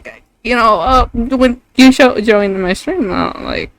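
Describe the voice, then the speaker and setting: A woman's voice: on the high side, lively intonation, unhurried, no echo, faint music behind. Woman game streamer: casual, giggly, rambling chat, loose and unscripted. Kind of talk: casual chat while gaming.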